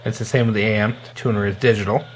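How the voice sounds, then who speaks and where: A man giving a casual, hands-on product demonstration in an informal, halting way as a gear reviewer. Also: lowish voice, fairly even intonation, slight background noise, low-quality recording.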